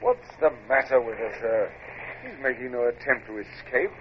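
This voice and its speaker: A man acting a dutiful British naval officer in a radio drama, crisp and deferential. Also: mid-range voice, lively intonation, moderate pace. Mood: alert, puzzled. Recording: dull old radio recording, faint noise.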